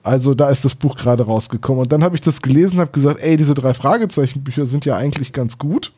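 A man's voice: medium pitch, fast talker, dark tone, slightly rough. A man chatting casually as a podcast co-host, with informal, jokey phrasing. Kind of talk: casual chat.

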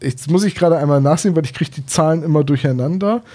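A man's voice: fairly high pitch, quick pace, dark tone. A man in his thirties chatting casually as a podcast co-host, explaining things in careful detail. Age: 30s. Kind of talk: casual discussion of audio dramas and books.

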